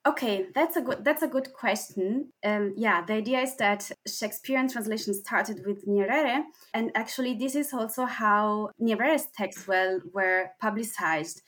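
A woman researcher on an academic panel, explaining her work in a halting way with frequent pauses and restarts. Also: mid-range voice, fairly bright tone. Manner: hesitant, many fillers and restarts while explaining.

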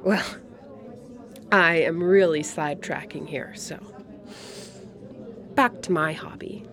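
An actress playing a proud, wistful Syrian refugee woman in her sixties, a retired art teacher chatting reflectively in a dramatic monologue. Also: on the low side. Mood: wry, proud. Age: 60s. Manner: reflective, conversational, pausing between phrases. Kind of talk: dramatic monologue as intimate coffee shop chat. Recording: coffee shop chatter behind the voice. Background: cafe crowd chatter.

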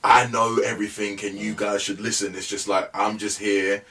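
Young man, lowish voice, laid-back guest, a bit off-mic. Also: moderate pace, recorded from a distance. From England. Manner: relaxed, chatty, many fillers like "you know what I mean". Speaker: musician guest. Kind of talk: casual podcast interview.

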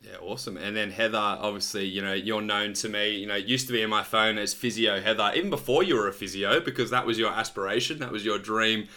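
A man in his thirties hosts a chatty podcast interview over a video call. His voice is bright and forceful, sitting a little low. He talks with excited, rambling energy and keeps restarting his sentences. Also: fast talker, hardly any pauses. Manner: enthusiastic, rambling with frequent restarts. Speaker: podcast host. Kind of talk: friendly casual interview chat.

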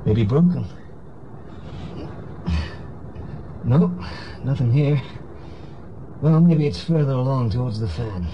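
An actor playing a glib, wisecracking private investigator in a 1960s radio drama. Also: male voice, mid-range voice, expressive, measured pace, lo-fi sound, natural voice. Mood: weary, puzzled. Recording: noisy old radio drama recording.

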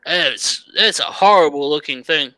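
A man's voice: on the high side, measured pace, poor audio. A man in casual podcast chat, swearing freely as he describes something. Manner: swearing, animated storytelling.

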